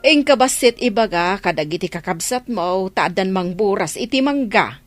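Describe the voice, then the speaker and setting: A woman's voice: medium pitch, lively intonation, moderate pace, faint music behind, natural voice. An actress with an Ilocano Filipino accent playing a practical village mother of grown children in a radio drama. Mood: matter-of-fact.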